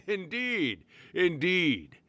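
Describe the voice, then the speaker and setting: A male actor playing a mischievous old yokai spirit with a gravelly, theatrical delivery in a game. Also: sits high, big pitch swings, sharp tone, no room sound, heavily acted. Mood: playful. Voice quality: creaky, gravelly. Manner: theatrical, slow drawn-out phrasing with cackles.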